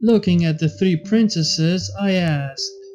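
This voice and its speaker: A man's voice: high-pitched, slow pace, a little mellow, dull audio. A man reading fan fiction aloud as scripted story narration.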